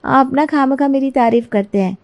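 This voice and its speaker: A woman's voice: high voice, moderate pace, a little mellow, pitched above the performer's own voice. A woman voicing a lazy, sweet-talking young daughter-in-law in a cartoon moral story, eager to please and cheerfully scheming.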